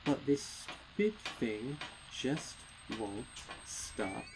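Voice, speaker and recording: Slow, dramatic male horror narrator. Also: medium pitch, sing-song, noisy background. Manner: intense, theatrical, deliberate phrasing. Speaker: fan fiction narrator.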